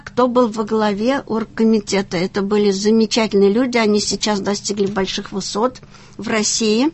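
A woman in her sixties with a slightly high voice talks in an interview. She is enthusiastic and talkative, telling of her experience in a conversational way. She comes from Tatarstan in Russia. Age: 60s. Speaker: senior volunteer, interview guest.

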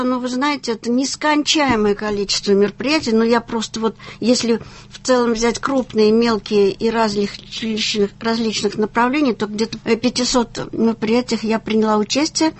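A woman in her sixties from Tatarstan in Russia, talking enthusiastically and at length in a conversational interview as a guest. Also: fairly high pitch. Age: 60s. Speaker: senior volunteer, interview guest.

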